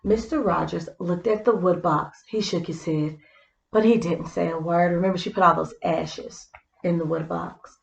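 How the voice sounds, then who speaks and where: Creaky-voiced woman reading a storybook. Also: on the low side, fairly even intonation, moderate pace, echoey room, a little hiss or hum, poor audio. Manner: explanatory, addressing young listeners. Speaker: read-aloud storyteller. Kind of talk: scripted storybook narration with simple asides.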